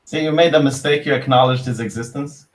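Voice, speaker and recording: A man's voice: medium pitch, quick pace, distant mic. Hesitant man, off-mic. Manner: hesitant, full of restarts. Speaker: player. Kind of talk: casual game chat.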